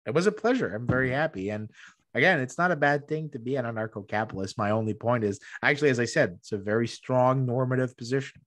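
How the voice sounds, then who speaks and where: A man's voice: medium pitch, quick pace, fairly bright tone, no room sound. A man in his thirties arguing conversationally as a podcast guest, emphatic, often repeating words as he goes. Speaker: podcast guest, economic historian. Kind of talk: conversational interview argument. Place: small room at home, video call. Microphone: close desktop microphone.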